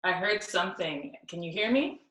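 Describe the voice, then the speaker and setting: A woman's voice: on the low side, expressive, moderate pace, pushed and bright. Earnest young woman from South Sudan. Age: young adult. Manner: earnest, with frequent ums. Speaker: symposium speaker. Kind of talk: personal talk with recited poem. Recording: echoey room, distant mic.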